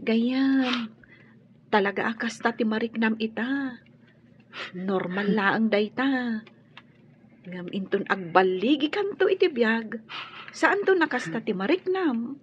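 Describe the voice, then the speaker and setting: A woman's voice: on the high side, takes it slowly, pauses often, slightly rough, full character voice. An actress playing a young female student, a concerned and consoling friend, in a radio drama. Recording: dull radio drama audio.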